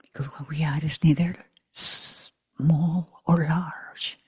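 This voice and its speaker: Hushed, very slow breathy woman guiding meditation. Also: low voice, fairly even intonation, dry recording, clean studio sound. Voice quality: breathy, husky, near whisper. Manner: hushed, unhurried, long pauses between short phrases. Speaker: meditation teacher. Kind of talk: guided meditation for a group.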